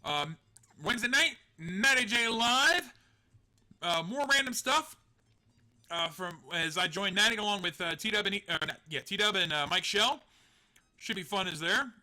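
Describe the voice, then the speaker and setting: A man's voice: sits high, expressive, unhurried, bright, forceful tone. A man hosting a live stream, chatting casually and rambling, joking at his own expense, laughing and swearing now and then. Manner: rambling, self-deprecating, laughs often, swears. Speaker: online show host.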